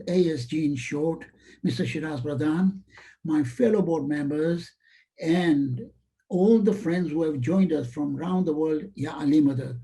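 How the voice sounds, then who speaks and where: Formal man with a bright voice. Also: fairly high pitch, rough recording. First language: Swahili. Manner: formal, ceremonious. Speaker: vice chair giving vote of thanks. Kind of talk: formal vote of thanks speech. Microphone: webinar call.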